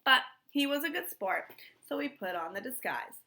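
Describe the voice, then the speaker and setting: Bright-voiced woman reading a children's story. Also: sits high, expressive. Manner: pauses to put questions to young listeners. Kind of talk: expressive read-aloud narration.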